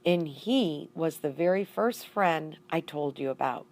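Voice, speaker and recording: A woman's voice: deep voice, a little slow, darkish tone. A woman voicing a friendly, enthusiastic man in a children's story, eager in manner.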